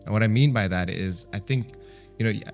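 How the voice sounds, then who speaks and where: A man's voice: on the low side, fairly quick, vocal fry, faint music behind. A man with an East African accent talking casually and reflectively in conversation, as a guest on a talk show. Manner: reflective, talkative, peppers English with some Swahili.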